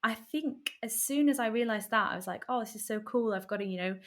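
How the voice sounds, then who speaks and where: A young woman from Wales, a singer-songwriter chatting casually as a podcast guest, full of "like" fillers. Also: fairly high pitch. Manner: chatty, lots of "like" fillers, quick laughs. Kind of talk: casual interview chat.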